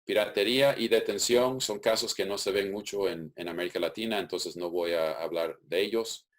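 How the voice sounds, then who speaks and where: Guy, very low voice, calm methodical webinar explainer on a call. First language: English. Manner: methodical, steady explaining with occasional restarts. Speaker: webinar presenter.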